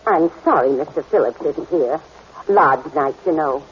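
A woman's voice: low voice, huge pitch swings, unhurried, gravelly, exaggerated voice. An actress playing a sweet, polite old lady shop clerk in genteel 1940s radio style. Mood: polite, anxious. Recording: dull, noisy 1940s radio recording.

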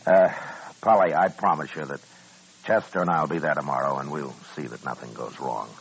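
An actor playing a steady, firm marshal of the Old West in a radio western, speaking in a measured, plain way. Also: male voice, rumbling low, slightly rough, heavily acted. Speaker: radio drama lead actor and narrator. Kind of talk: 1950s radio western acting. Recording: dull old radio recording with music.

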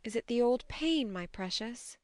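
Woman acting a young elder sister, audiobook dialogue, clear, brisk, bossy, affectionate, forthright. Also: fairly high pitch, expressive, darkish tone, no room sound, studio quality, voice pushed up. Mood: anxious, tender. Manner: admonishing, forthright.

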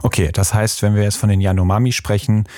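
Lower-voiced German host, measured questioning. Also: male voice, fairly even intonation, dark tone, dry recording, studio quality. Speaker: podcast host. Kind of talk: interview conversation.

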